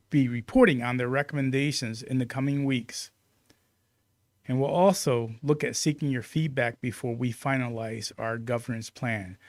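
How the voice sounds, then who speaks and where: A man's voice: mid-range voice, a little mellow, no echo. A man speaking as a club president, delivering prepared announcements to open a meeting.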